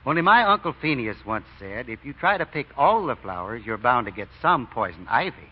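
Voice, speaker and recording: An actor playing an amiable, sly small-town deacon with an American accent and a hesitant, folksy drawl, in old-time radio style. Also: male voice, mid-range voice, very animated, fairly quick, over music, close to an everyday voice. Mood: shrewd, casual. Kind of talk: 1940s radio acting. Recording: dull vintage radio recording.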